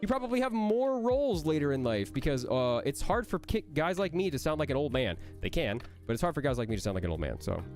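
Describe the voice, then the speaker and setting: A man's voice: on the high side, very animated, quick pace, hardly any pauses, darkish tone, soft background music. A young man, a voice actor, reading out and answering chat questions on a livestream, with a nasal voice that sounds like he has a cold, playful and joking.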